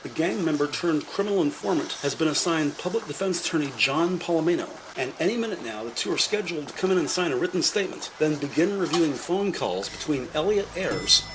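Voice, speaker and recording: A man reading scripted descriptive narration in a measured, announcer-like way. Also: on the high side, lively intonation, noisy background. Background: background music.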